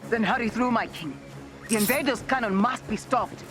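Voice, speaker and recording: A woman playing a proud, loyal warrior general in a video game, with an African accent and a crisp, formal manner. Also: fairly high pitch, normal speed, close to an everyday voice. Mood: dry, urgent. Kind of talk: video game dramatic voice acting. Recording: loud game music behind.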